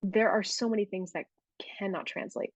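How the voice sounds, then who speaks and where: A woman's voice: medium pitch, moderate pace, husky, dry recording. A woman, a guest herbalist, talking in a casual podcast conversation, thoughtful and often restarting as she searches for words.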